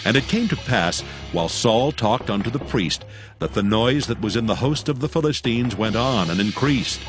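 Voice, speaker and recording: A man narrating a dramatized Bible in a solemn, measured, scripted style. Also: medium pitch, expressive. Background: music.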